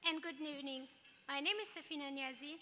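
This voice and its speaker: A woman's voice: sits high, fairly even intonation, a little fast. A woman from Afghanistan, an HR coordinator, formally introducing a gala evening. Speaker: HR coordinator and co-emcee. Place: large ballroom. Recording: hall reverb, clear crowd noise.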